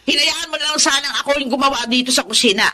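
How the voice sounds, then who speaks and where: An actress playing a fussy, easily exasperated middle-aged aunt in a radio drama, with a nagging, exclamatory delivery. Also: female voice, high-pitched, fast talker, bright, forceful tone. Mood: scolding.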